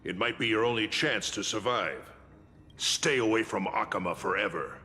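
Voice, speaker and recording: A stern fantasy game guard, low and sharp-edged, ringing with long reverb. He declaims formally and with commanding menace. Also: moderate pace, a little hiss or hum. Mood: threatening. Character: stern, commanding male city guard, menacing toward enemies. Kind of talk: dramatic fantasy game voice acting.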